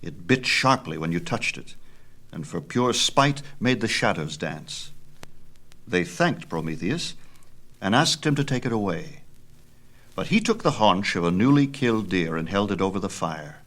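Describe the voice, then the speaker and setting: A man reading a myth as a dramatic storyteller, with theatrical, expressive delivery. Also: sits low, unhurried. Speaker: audiobook reader.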